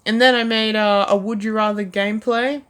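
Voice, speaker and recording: A woman's voice: mid-range voice, steady tone, moderate pace, a bit bright, a little hiss or hum. A woman talking casually about her own past videos, rambling and self-deprecating, with lots of "like" and "um" fillers. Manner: rambling, heavy use of "like" and "um".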